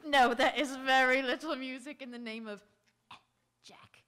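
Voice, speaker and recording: A woman's voice: high-pitched, steady tone, a little slow, fairly bright tone. A woman acting in live radio theatre as a poised, romantic and self-assured young Victorian lady with an affected manner. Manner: affected, decisive, genteel.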